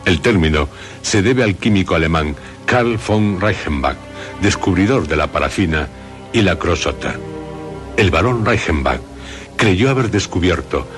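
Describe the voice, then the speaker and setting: A middle-aged man with a Castilian Spanish accent, hosting a radio drama in a solemn, deliberate, phrase-by-phrase narration over music. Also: sits low, lively intonation. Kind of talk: scripted introduction and narration.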